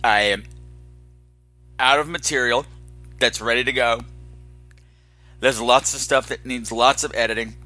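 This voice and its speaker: A man's voice: medium pitch, steady tone, measured pace, pauses often, bright, forceful tone, no echo, faint music behind. A man hosting a podcast in a candid, unscripted monologue, dramatic and emphatic, sounding weary and exasperated. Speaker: podcast host.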